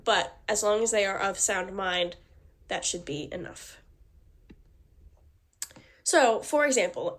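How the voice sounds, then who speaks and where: A woman with a cold and a slightly nasal voice, reading out a prepared class presentation with small slips and restarts. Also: fairly high pitch. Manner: reads formal text with small slips and restarts. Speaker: student presenter.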